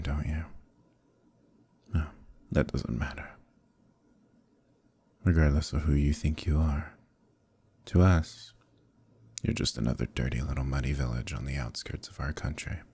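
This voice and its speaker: A man playing a smugly menacing evil knight in an ASMR roleplay, speaking in a slow, intimate, condescending monologue with softly threatening delivery. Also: dry recording, heavily acted. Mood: curious, intrigued, then disdainful. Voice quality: very deep, gravelly, husky.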